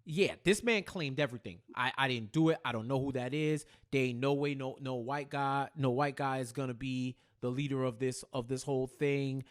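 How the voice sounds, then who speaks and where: A man co-hosting a casual recap chat, speaking in a halting way and repeating phrases as he thinks aloud. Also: medium pitch. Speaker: podcast co-host.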